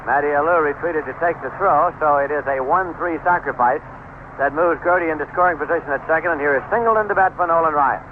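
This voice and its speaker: A man calling a baseball game as play-by-play announcer on radio, in a measured delivery with pauses. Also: fairly high pitch. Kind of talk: live radio sports commentary. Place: stadium broadcast booth. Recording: narrowband, noisy old AM radio broadcast.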